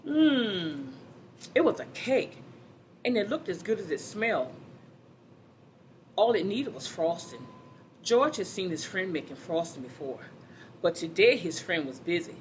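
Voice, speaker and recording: A woman's voice: on the low side, very animated, unhurried, long pauses, a little hiss or hum, low-quality recording. A woman reading a children's story aloud, expressively, addressing her young listeners directly.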